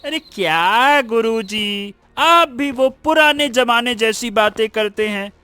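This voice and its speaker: Stubborn village schoolboy, squeaky high, bright, slow-paced, exclamatory, cartoon story, music underneath. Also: male voice, expressive, smooth, dry recording, exaggerated voice. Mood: boastful, dismissive. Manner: exclamatory, repeats words emphatically. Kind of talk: Hindi cartoon moral story acting.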